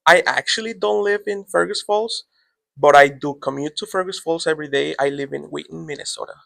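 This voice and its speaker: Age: adult. Sex: man. Quality: heavy gravelly fry. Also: a little high, fairly quick, no echo. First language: Spanish. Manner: relaxed, rambling, trailing off with "so". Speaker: Spanish teacher. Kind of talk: casual interview answers.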